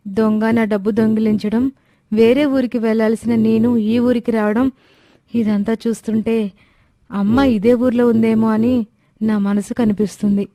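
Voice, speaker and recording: A woman's voice: a little high, fairly even intonation, normal speed, mellow, dry recording, natural voice. A woman voicing an earnest, plaintive young daughter searching for her mother in a moral story. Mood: yearning, determined. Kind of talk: Telugu moral story character acting.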